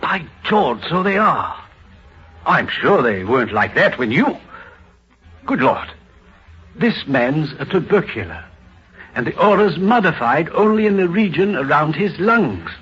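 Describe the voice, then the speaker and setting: A man acting an excited, driven doctor and researcher in a dramatic 1950s radio play style. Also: fairly high pitch, unhurried, husky, exaggerated voice. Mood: astonished. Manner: dramatic, theatrical. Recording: muffled vintage radio recording.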